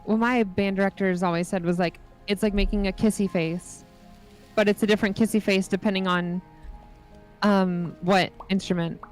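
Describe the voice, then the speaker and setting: A young woman with a mid-range voice, calmly explaining things in casual conversation, pausing with small fillers as she thinks. Soft music plays faintly behind her. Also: mid-range voice. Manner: explanatory, matter-of-fact, hesitant fillers. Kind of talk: casual chat.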